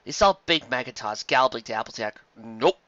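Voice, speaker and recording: A man's voice: mid-range voice, animated, quick pace, bright, forceful tone, no room sound, highs cut off. A man reading fiction aloud as a dramatized narration, recorded close in a dry space. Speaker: story reader.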